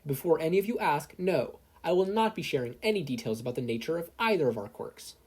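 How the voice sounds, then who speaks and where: A breezy, assured superheroine mentor as put on by a story reader, teasing and sure of herself. The voice is mellow and a bit husky. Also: medium pitch, expressive, quick pace, voice pushed up. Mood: firm. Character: brash, easygoing American superheroine mentor.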